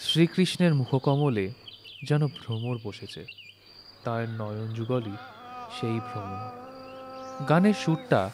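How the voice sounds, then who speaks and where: A man's voice: medium pitch, animated, takes it slowly, pauses often, background noise. A man narrating a literary story from a script in a recording studio.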